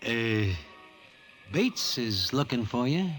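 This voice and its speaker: A man's voice: mid-range voice, big pitch swings, takes it slowly, a bit bright, faint music behind. A man playing a wry, streetwise Broadway narrator in an old-time radio drama, using stilted formal slang. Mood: curious, surprised. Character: wry Broadway guy telling his gambling tale, streetwise and formal.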